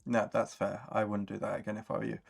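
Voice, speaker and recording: Male stream host, low register, chatty and encouraging, casual livestream delivery. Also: level tone, quick pace, gravelly, slightly rough, soft background music. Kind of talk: casual worldbuilding livestream chat.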